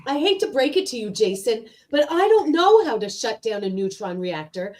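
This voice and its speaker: Woman doing a sarcastic exasperated crewmate, kinda high, very up and down, radio play. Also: sing-song, normal speed, mellow. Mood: wry disbelief. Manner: wry, sarcastic. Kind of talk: live radio play read.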